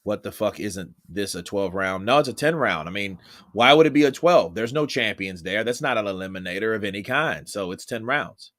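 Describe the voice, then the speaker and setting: A man's voice: lowish voice, expressive, brisk, a bit bright. A young man doing casual, profane live-stream commentary on boxing and chatting with his viewers. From Texas, United States.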